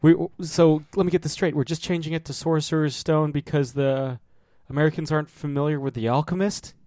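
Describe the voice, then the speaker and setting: A man's voice: a little high, steady tone, a little fast, dark tone, dry recording. Wisecracking man, dry close sound. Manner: wisecracking, laughs often. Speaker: podcast co-host. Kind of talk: casual film commentary chat.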